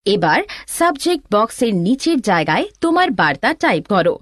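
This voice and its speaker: A woman's voice: medium pitch, big pitch swings, moderate pace, no echo, studio quality. A woman giving scripted instructional narration, explaining things step by step as a tutorial instructor.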